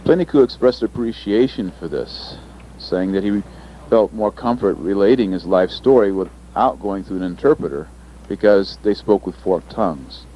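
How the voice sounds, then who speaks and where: Low-voiced man in a dull lo-fi recording. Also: slight background noise. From United States. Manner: thoughtful, pausing with fillers. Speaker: interpreter presenter. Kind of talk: recorded panel presentation. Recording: dull lo-fi recording, faint ambience.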